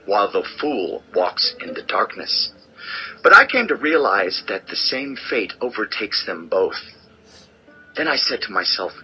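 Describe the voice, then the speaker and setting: A world-weary old king looking back on life in a dramatized scripture recording. The voice sits fairly low yet is strikingly bright and forceful. The delivery is solemn, deliberate and theatrical, with faint music underneath. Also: exaggerated voice, low-quality recording. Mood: pondering. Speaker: audio Bible narrator and actor.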